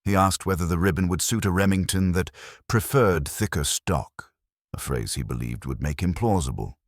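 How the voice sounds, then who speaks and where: A man's voice: deep voice, normal speed, no room sound, studio quality, voice pushed up. A man voicing an imperious, exacting detective who speaks formally and mildly, with precise, cutting delivery in an audiobook. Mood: coolly accusing. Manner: formal, mild-spoken, precise.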